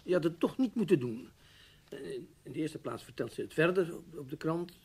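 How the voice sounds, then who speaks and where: An actor playing an anxious, gloomy middle-aged husband in a radio play, speaking in a fretful, wordy, hesitant way. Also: male voice, fairly high pitch, sing-song, moderate pace, a little mellow, breathy edge, full character voice. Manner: fretful, stammering, wordy and formal. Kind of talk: Dutch radio drama acting.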